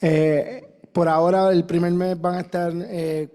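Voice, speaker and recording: Man emceeing the intros, kinda high, mellow tone, echoey room. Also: level tone, measured pace. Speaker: event presenter. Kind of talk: announcing introductions.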